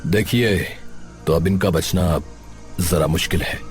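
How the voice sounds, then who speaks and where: A man voicing a grave hospital doctor in a story, speaking formally in a measured, halting way. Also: deep voice, soft background music, voice pushed down. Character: grave male hospital doctor giving bad news.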